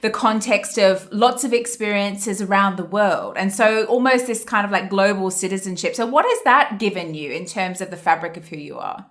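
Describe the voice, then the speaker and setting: A woman hosts a podcast interview in a conversational style. Her voice sits at an average pitch, and she comes across as reflective and affirming as she frames things for her guest. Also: normal speed, few pauses, a bit bright. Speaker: podcast host.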